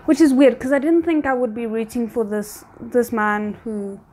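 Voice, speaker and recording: Woman w a highish voice doing reaction commentary, chatty, mutters wry little asides. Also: steady tone, moderate pace, warm tone. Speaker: reaction video host. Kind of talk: casual reaction commentary while watching a drama.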